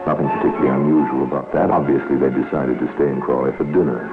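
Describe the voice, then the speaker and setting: A man narrating a 1950s radio crime drama in a grave, wry, deliberate manner. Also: a bass voice, steady tone, music in the background. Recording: tinny, noisy 1950s radio recording.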